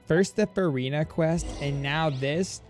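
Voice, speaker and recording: A woman's voice: low-pitched, a little slow, darkish tone, soft background music. A woman giving casual live game commentary as a streamer, playful and slangy as she talks to her chat.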